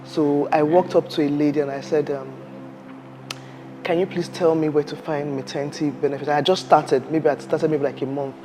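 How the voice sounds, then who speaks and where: Woman telling a lively work story. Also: low-pitched, moderate pace, over music. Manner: animated, acts out quoted voices, laughs while telling. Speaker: guest. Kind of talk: interview storytelling.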